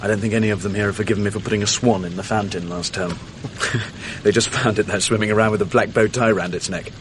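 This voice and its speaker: An actor playing a flamboyant, mischievous young student, confident and teasing, in a radio play. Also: male voice, lowish voice, fairly even intonation, fast talker, a little hiss or hum, lightly acted. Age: young adult. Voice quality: confident, teasing, upper-class. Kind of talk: 1980s radio drama acting.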